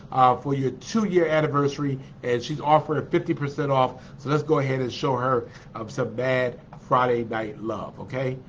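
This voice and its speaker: A man's voice: on the high side, a bit bright, a little hiss or hum. A man with a congested, slightly nasal voice, hosting a casual livestream and chatting with his viewers. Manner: chatty and encouraging, with many fillers. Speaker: livestream host. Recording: dull lo-fi livestream audio.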